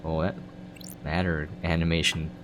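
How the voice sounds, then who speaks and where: A man's voice: very deep voice, little pitch movement, moderate pace, noisy background. A man giving casual gameplay commentary, explaining and thinking aloud as he plays. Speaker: game streamer. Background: game music.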